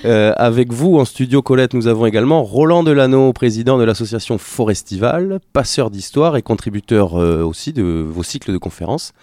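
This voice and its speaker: A man hosting a local radio talk show in the studio, speaking haltingly with many fillers and repeated words. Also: mid-range voice, expressive, mellow, no echo. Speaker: radio host.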